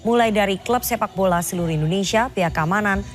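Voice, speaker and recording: A woman's voice: medium pitch, fast talker, soft background music. A woman reading scripted news as a television anchor.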